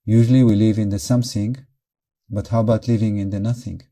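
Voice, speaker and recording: Very mellow, soft-edged male meditation guide. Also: lowish voice. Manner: calm, measured, short phrases with pauses. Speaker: meditation teacher.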